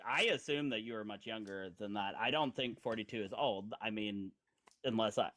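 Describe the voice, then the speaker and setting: A man's voice: lowish voice, moderate pace, fairly bright tone. Rambling, teasing man in his forties. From Indiana, USA. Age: 40s. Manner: rambling, chatty, with lots of fillers and teasing. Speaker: podcast host. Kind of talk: casual live-stream chat.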